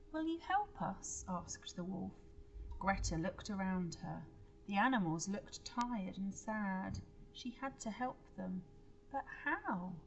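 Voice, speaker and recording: A woman reads a children's story aloud in the manner of a teacher. Her pace is slow and her pitch is medium, and she breaks off to explain or question her listeners. Faint noise sits behind her. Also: creaky, poor audio. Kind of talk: expressive children's storybook read-aloud with asides.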